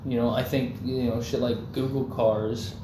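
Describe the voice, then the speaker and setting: A man's voice: mid-range voice, flat delivery, normal speed. A young man in casual chat, rambling loosely with lots of fillers and swearing. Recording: echoey room, faint background noise.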